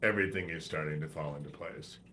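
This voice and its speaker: A man's voice: very deep voice, fast talker, pushed and bright, slight background noise. A man hosting a live stream while sick with a congested head cold, talking in a loose, rambling, profane way. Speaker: livestream host. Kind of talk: rambling casual livestream talk.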